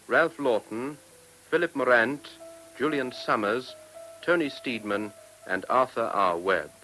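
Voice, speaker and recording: A man announces in formal scripted style over faint music. His voice is bright and crisp in attack, and he reads very slowly, as an old-fashioned radio announcer does. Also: medium pitch, poor audio.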